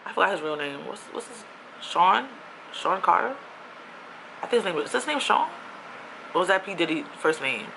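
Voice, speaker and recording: A woman's voice: fairly low pitch, normal speed, gravelly, pauses often, noisy background. A young woman from New York, ranting casually on her own vlog in a chatty, slangy way. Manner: chatty, animated, with slang and asides. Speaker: YouTuber.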